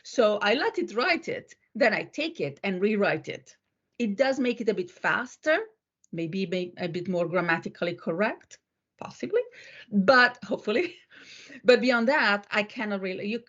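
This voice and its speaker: A woman talks in a conversational, professional way at a middling pitch. She is reflective and a little halting, reworking her phrasing. The recording sounds dull and band-limited. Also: expressive, fairly bright tone. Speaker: panel discussion participant.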